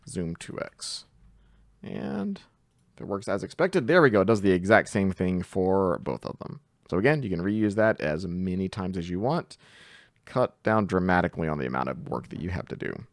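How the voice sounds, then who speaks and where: A man's voice: lowish voice, vocal fry, no room sound, clean studio sound. A man giving a casual coding tutorial, explaining things step by step in an informal way. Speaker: programming tutor.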